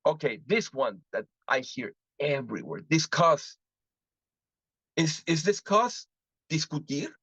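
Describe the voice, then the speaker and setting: A man from Mexico, presenting a live webinar on interpreting and asking the audience lively rhetorical questions. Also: fairly high pitch, slow pace, slightly rough, no room sound. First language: Spanish. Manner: animated teaching, frequent rhetorical questions, switches into Spanish examples. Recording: muffled lo-fi dry webinar audio.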